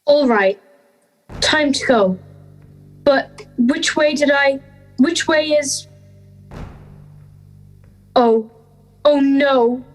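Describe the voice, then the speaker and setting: An introspective fairy-tale schoolgirl, lost and afraid, played by a young woman. She delivers an audio drama monologue slowly, in halting short phrases. Her voice is a little high, with music softly behind it. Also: rough recording. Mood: panicked. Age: young adult.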